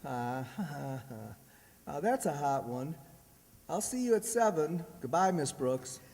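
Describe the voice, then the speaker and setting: A man's voice: on the high side, very animated, unhurried, vocal fry, a little mellow, slight background noise, heavily acted. A man playing a bashful, earnest schoolteacher suitor, hesitant and stiff, in a radio comedy. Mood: bashful, pleased. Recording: echoey hall, long reverb.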